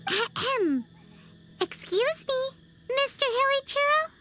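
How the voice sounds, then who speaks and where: Squeaky, extremely high little fairy. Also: female voice, animated, takes it slowly, very noisy, exaggerated voice. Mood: chatty. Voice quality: squeaky, childlike. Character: tiny childlike female fairy companion, cheeky and blunt. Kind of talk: English video game voice acting.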